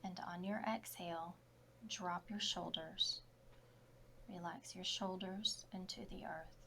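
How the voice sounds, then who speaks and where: A woman yoga instructor leading a guided relaxation meditation in short, soft, measured phrases. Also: on the low side, steady tone, very noisy.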